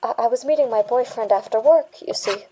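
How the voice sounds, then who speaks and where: A woman acts out a young female bank worker in an audio drama, giving a witness statement. The voice is fairly high and soft-edged, a little dark in tone. The delivery is halting and stammering, yet defensive and insistent. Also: a little hiss or hum, lightly acted, rough recording. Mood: shaken, halting. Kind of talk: dramatic audio fiction acting.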